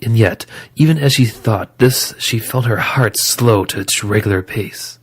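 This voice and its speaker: A man's voice: lowish voice, measured pace, breathy edge. A man reading scripted story narration as the narrator of an audio drama.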